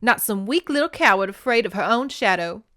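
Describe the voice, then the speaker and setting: An earnest young princess as a woman reader puts her on, dutiful and a little nervous. She speaks formally and with resolve, in a clear voice with a dry, close sound, as in a dramatic romance storybook. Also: mid-range voice, lively intonation, a little fast, a bit bright, no echo, lightly acted. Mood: anxious, resolute. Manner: formal, declamatory speech. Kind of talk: dramatic romance novel reading.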